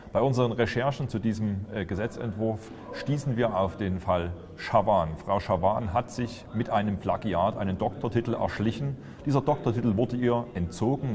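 A man in his fifties speaks slightly low and steady, earnest and accusatory as he presents a political statement. His voice carries a long reverb from a large room with big windows. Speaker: politician presenting a party video. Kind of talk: scripted political statement to camera. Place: indoors before a large window.

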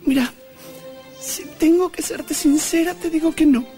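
A frank but hesitant Argentine girl in a radio soap. Her voice is high and gentle in timbre, with light music behind. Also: fairly even intonation, a little slow. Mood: reluctant. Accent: Argentine Spanish. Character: girl pianist, reluctant, frank daughter. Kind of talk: comic radio soap acting.

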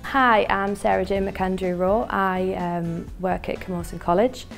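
A woman's voice: mid-range voice, fairly even intonation, a little slow, gravelly, faint music behind. A woman, a skills teacher, speaking enthusiastically with some fillers in a studio interview. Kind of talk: testimonial interview. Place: studio with dark backdrop. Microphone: lapel mic.

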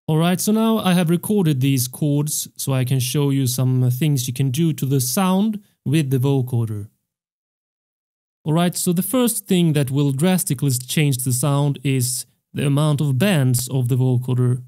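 A young man hosting a music production tutorial, explaining step by step in a measured way into a close studio mic. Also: medium pitch, mellow. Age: young adult. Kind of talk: tutorial narration. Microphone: close studio microphone on a stand.

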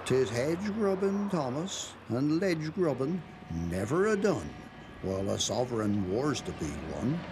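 A man with a Dorset accent reciting dialect poetry as an expressive, emphatic storyteller. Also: mid-range voice, a little slow. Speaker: poetry reader. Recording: noticeable background noise, 1990s television soundtrack.

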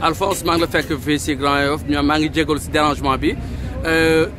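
A man's voice: a little high, steady tone, a little fast, over music. A man asking questions in a street interview and prompting with short acknowledgements, recorded outdoors on a busy street. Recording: street traffic noise behind.

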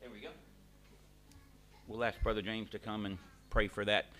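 A middle-aged man, a pastor, leading a church service from a lectern, praying and giving announcements in a relaxed, conversational way with many pauses and restarts. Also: lowish voice, soft background music. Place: small church hall, at a lectern. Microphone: lectern microphone.

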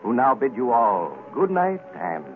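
Animated old-time American radio announcer, male. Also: medium pitch, unhurried. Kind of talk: scripted 1930s radio advertising announcement. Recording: tinny, noisy old radio recording.

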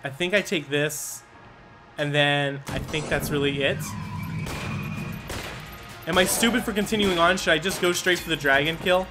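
Excitable young man over game music. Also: fairly high pitch, normal speed, long pauses. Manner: excitable, swearing, reads game dialogue aloud. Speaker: gaming video creator. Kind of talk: casual gameplay commentary.

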